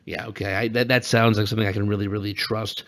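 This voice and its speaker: A man's voice: fairly low pitch, flat delivery, fast talker, fairly bright tone. A man co-hosting a podcast with casual, joking political commentary full of teasing asides. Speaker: podcast co-host.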